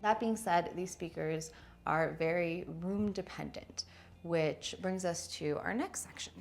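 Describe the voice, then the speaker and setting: Low-pitched, creaky-voiced woman presenting a review. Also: measured pace. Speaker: product reviewer and showroom presenter. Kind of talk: video product review, presenting to camera. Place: indoor showroom with wood-slat wall.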